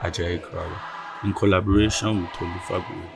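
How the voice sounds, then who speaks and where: A man narrates a documentary from a script. His voice is very low and gravelly, with music playing clearly behind him. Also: steady tone, a little fast.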